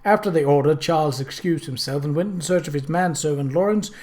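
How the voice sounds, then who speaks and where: A male narrator reads a novel aloud. His voice sits a little high for a man, and he delivers the scripted prose as audiobook storytelling. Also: a little fast, a little mellow.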